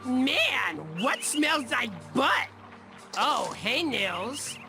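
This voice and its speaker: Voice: high-pitched, very animated, very slow, exaggerated voice. A performer playing a rude, dopey boy bully in a cartoon video game. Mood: sneering, threatening. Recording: game audio with music behind.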